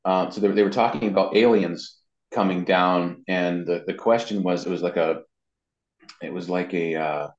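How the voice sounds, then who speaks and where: Deep-voiced man telling stories, echoey room. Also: steady tone, normal speed. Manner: chatty storyteller, acts out quoted dialogue. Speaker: interviewee, amateur voice actor. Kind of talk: casual anecdotal interview talk. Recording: dull, roomy, echoey sound.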